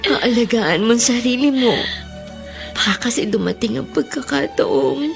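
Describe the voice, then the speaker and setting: A woman playing an ailing, devoted mother in a melodrama, with a Filipino accent and tender, halting, emotional delivery. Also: on the high side, expressive, creaky, faint music behind. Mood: tearful, pleading. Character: ailing, tearful, devoted mother of a young child.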